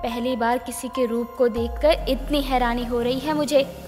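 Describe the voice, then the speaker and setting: An actress playing a fearless masked warrior heroine in an animated myth, firm and dramatic. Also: female voice, a little high, moderate pace, music in the background, close to an everyday voice. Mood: amazed. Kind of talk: animated mythological story acting. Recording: long cavernous reverb.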